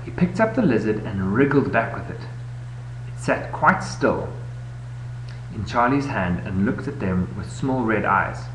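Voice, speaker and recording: A man reading a children's bedtime story aloud in an expressive way, recorded on a webcam. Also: on the low side, measured pace. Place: indoor room, webcam recording. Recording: echoey room, noisy webcam audio with hum.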